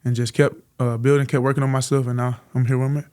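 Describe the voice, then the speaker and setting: Quick, flat-voiced young man from Florida. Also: mid-range voice, smooth. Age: 20s. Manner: soft-spoken, polite, answers with "yes, sir". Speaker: college football player, guest. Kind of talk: casual interview answers.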